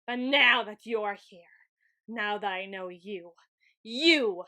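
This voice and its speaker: A woman's voice: mid-range voice, slow pace, pushed and bright. A woman playing a grandiose, weakened overlord of shadows in an ASMR roleplay, with pompous, flowery, melodramatic delivery. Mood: wistful, faltering. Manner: pompous, archaic flowery phrasing. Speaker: ASMR roleplay audio performer. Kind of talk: ASMR roleplay, theatrical scripted monologue.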